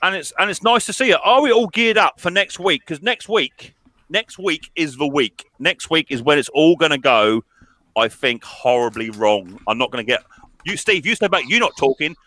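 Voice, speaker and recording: A man's voice: fairly high pitch, animated, moderate pace, bright, forceful tone. An English man hosting a casual live-stream video chat, joking and teasing his guests while tripping over his own restarts. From England.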